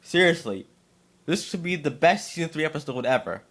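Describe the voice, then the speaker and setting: A man's voice: a little high, expressive, a little fast, fairly bright tone. A man reading aloud as an amateur narrator, now and then stumbling over his words. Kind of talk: dramatic story reading by an amateur.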